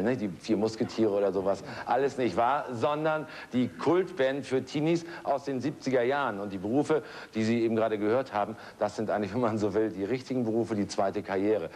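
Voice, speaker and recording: A man's voice: lowish voice, a little mellow. Chatty middle-aged man hosting TV. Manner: brisk, chatty, with rhetorical asides. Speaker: TV show host. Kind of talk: lively presenting. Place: large TV studio with audience.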